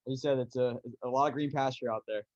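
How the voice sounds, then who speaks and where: A man's voice: mid-range voice, fairly even intonation, fast, a little mellow, no echo, lo-fi sound. A man from San Diego with an American accent, casually interviewing a guest as a podcast host. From San Diego, United States.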